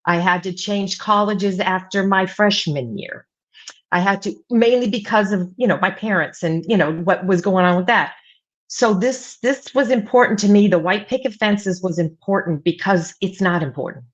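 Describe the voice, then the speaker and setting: A woman's voice: mid-range voice, moderate pace. Rambling woman with a dull lo-fi sound. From United States, mostly Virginia Beach. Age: middle-aged. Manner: rambling with many fillers and restarts. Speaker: writing coach and author, guest. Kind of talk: casual interview talk.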